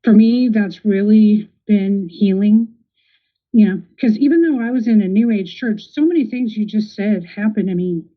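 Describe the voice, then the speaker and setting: A woman's voice: medium pitch, moderate pace. A woman hosting a podcast, interviewing casually with supportive affirmations. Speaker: podcast host. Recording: tinny phone-like audio.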